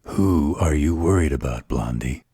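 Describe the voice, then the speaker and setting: Man playing a very deep, sardonic supernatural fighter. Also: a little slow, mellow, breathy edge, dry recording, clean studio sound, heavily acted. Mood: wry. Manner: sardonic, drawling, mocking. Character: snarky, distrustful supernatural male fighter, gruff and sardonic. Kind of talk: audio roleplay voice acting.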